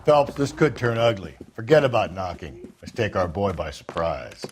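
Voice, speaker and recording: An actor playing a gruff, cynical American police detective in a 1940s crime video game, with a sardonic manner. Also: male voice, on the low side, sing-song, moderate pace, a little hiss or hum.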